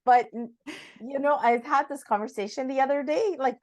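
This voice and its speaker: A woman's voice: high-pitched, normal speed, a little mellow. A woman hosting a podcast in a chatty, effusive, enthusiastic manner. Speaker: podcast host.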